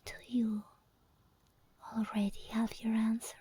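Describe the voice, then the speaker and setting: A woman playing an obsessed, possessive sleep paralysis demon in an ASMR roleplay, whispering slowly and breathily with coaxing, teasing menace. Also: fairly high pitch, little pitch movement, mellow, husky. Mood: coaxing, menacing. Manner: slow, deliberate, coaxing and controlling.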